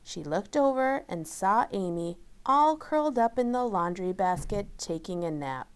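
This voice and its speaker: Slow, clear woman reading a children's story. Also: medium pitch, animated. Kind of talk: scripted children's story narration.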